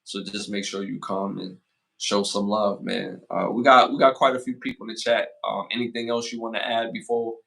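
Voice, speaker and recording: A man in his forties hosting a casual podcast, giving motivational advice and shout-outs, repeating phrases for emphasis. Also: on the low side, little pitch movement, gravelly, low-quality recording. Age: 40s. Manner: hypes the chat with rapid shout-outs. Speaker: podcast host.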